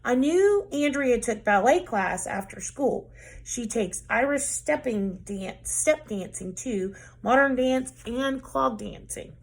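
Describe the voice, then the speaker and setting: A woman teacher reading a children's book aloud in an expressive, lively storytelling manner. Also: fairly high pitch, takes it slowly.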